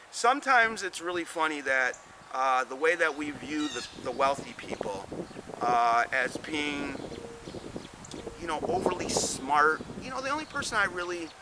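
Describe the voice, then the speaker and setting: A man's voice: medium pitch, sharp tone. A man hosting a business advice show, talking in a rambling, folksy way straight to his listeners and often repeating words. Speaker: host giving business advice. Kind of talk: casual monologue to camera. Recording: outdoor traffic noise behind.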